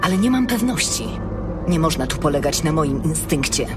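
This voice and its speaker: An actress playing a proud, vigilant elven ranger captain in an audiobook drama, terse and resolute in manner. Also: female voice, low-pitched, lively intonation, normal speed, over loud music. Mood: wary.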